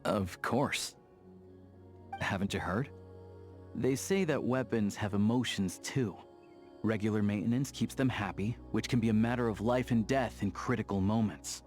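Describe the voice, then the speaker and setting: A man voicing a pragmatic, easygoing young hunter and lawman in a video game, talking in an unhurried, matter-of-fact way. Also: fairly low pitch, lightly acted. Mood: wry, matter-of-fact. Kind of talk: video game character dialogue. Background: soft background music.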